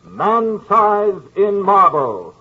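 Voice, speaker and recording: An eerie horror-show storyteller, theatrical and drawn-out. His voice is strikingly high and comes over a thin, tinny old radio recording. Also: very animated, heavily acted. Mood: ominous.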